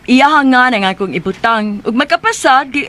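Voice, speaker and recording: An actress with a Cebuano accent playing a bright, studious twin daughter in a radio drama. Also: female voice, on the high side, animated, normal speed. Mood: eager.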